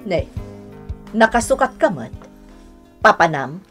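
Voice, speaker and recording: A woman's voice: medium pitch, sing-song, takes it slowly, fairly bright tone, heavily acted. A woman playing a worried, scolding older mother and mother-in-law in a Filipino radio drama, with an Ilocano accent and a fretful, reproachful manner. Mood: anxious. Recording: music bed behind voice.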